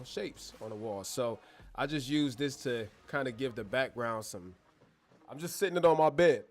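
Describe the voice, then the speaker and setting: A man hosting a casual live Q&A stream from his bedroom studio, talking straight to his chat in a slangy, joking way, on a clip-on mic. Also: medium pitch. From born in Germany, American. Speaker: livestream host. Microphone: wireless clip-on mic.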